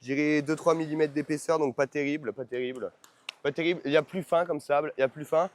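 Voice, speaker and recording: A man's voice: on the high side, steady tone, fast talker. Casual male vlogger, noisy location sound. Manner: informal and slangy, repeats phrases for emphasis. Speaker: online course seller. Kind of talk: casual travel vlog talk to followers.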